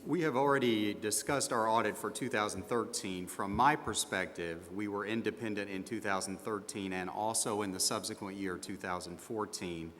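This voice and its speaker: Man playing a low-voiced stubborn accountant, echoing hall. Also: normal speed, hardly any pauses, a bit bright, lightly acted. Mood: defensive, firm. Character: stubborn, defensive male small-firm accountant under questioning. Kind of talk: scripted dramatization of a hearing. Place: large meeting hall with sound system. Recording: reverberant hall with faint background noise.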